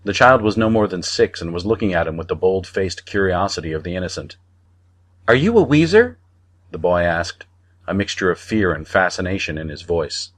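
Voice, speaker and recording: A man narrating fiction from a script as an audiobook reader, in a measured storytelling manner. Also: sits low, steady tone, fairly bright tone.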